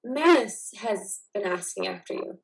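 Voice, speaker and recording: A woman's voice: mid-range voice, expressive, unhurried, gravelly, rough recording. A woman playing the caring, affectionate wife of a recovering veteran, speaking tenderly in a dramatic play reading. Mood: tender, concerned.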